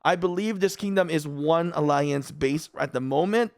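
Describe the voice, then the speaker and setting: A man's voice: a little high, normal speed, no echo, clean studio sound. A man from the Philippines, whose first language is Filipino, giving casual, rambling commentary on a strategy game as a channel host. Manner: chatty, rambling, laid-back. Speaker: gaming YouTuber. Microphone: studio mic in a dry room.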